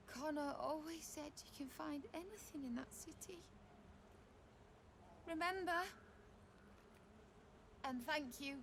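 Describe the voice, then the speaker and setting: A woman playing a grieving young widow in a video game, blunt, emotionally raw, loyal and quick to fury. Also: high voice, slight background noise.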